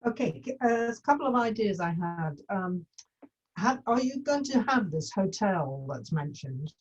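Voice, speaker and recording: Husky woman, muffled and distant. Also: mid-range voice, animated, moderate pace. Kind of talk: tentative informal discussion.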